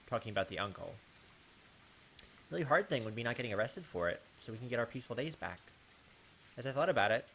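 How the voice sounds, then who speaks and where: A man with a mid-range voice reads visual novel narration aloud as a playthrough host, dropping into informal asides. A clear hiss sits behind the voice. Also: medium pitch, fairly bright tone, rough recording. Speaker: host of a game playthrough channel.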